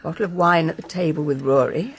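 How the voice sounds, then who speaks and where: An actress playing a weary, anxious older wife in a naturalistic radio soap, measured and pleading. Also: female voice, low-pitched, husky. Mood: disapproving.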